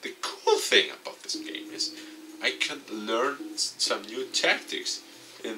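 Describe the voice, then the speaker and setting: A man reading a visual novel game aloud with commentary for his video, in a halting, stumbling delivery. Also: medium pitch, lively intonation, bright, forceful tone, recorded from a distance, slight background noise, low-quality recording. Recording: off-mic, music behind.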